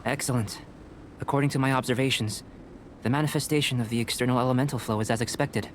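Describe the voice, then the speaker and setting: A man voicing a calm, reserved young alchemist scholar in an anime game, speaking in a measured, precise way. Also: mid-range voice, slight background noise, lightly acted. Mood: composed, instructive. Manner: measured, precise, composed, clinical.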